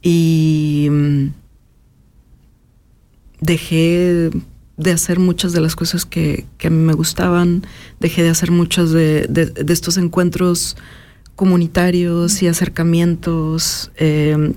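Thoughtful woman with mellow voice. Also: on the low side, flat delivery, unhurried. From Mexico. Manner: thoughtful, hesitant, many filler sounds. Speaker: interview guest, artist and educator. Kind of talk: personal reflective conversation.

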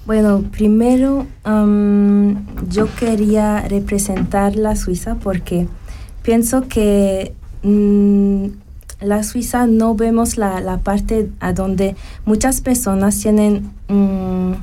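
Swiss pageant contestant, french native, slow careful speech, mellow tone, light background hum. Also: female voice, medium pitch, steady tone. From Switzerland. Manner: hesitant, searching for words. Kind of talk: casual interview answers.

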